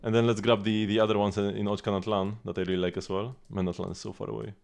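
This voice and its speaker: A man's voice: on the low side, little pitch movement, fast, gravelly, darkish tone. A man live streaming a video game, chatting casually and informally as he rambles along and answers viewers. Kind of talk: casual gaming stream chat. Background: game music.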